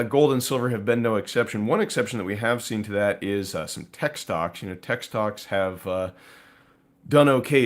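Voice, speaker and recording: Hoarse low-voiced man hosting a stream. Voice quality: raspy. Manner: conversational, with frequent fillers and asides to viewers. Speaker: host of a precious metals live stream. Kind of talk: casual live-stream market commentary.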